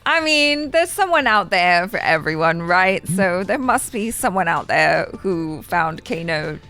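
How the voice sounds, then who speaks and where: A woman's voice: lowish voice, expressive, measured pace, bright, forceful tone, soft background music. Joking woman in casual, slangy chat. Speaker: reaction show co-host.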